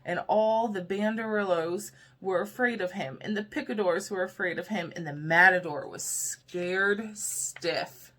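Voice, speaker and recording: Slow-paced woman reading a children's storybook. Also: medium pitch. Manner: expressive, addresses young listeners with questions. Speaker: preschool teacher reading aloud. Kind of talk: children's storybook read-aloud.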